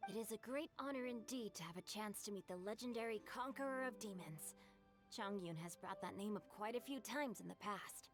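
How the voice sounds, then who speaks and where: A woman's voice: mid-range voice, a little fast, natural voice. A woman voicing a refined, bookish teenage boy in a video game, speaking formally and eloquently. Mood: polite, teasing. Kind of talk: game voice acting. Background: background music.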